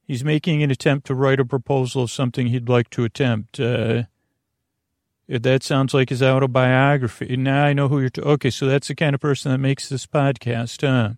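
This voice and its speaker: A man hosting a bedtime podcast in a creaky, lulling voice, meandering through tangents with frequent stammers and questions to himself. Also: medium pitch, level tone, normal speed, no room sound, clean studio sound. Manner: soothing, lulling, drowsy delivery. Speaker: podcast host. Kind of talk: rambling bedtime podcast monologue.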